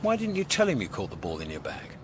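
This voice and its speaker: A man's voice: fairly low pitch, wildly swooping pitch, fast talker, background noise. An actor playing a breezy, streetwise alien traveller in a radio comedy, wry, emphatic and impatient with his human friend. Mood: conspiratorial. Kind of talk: radio comedy drama acting.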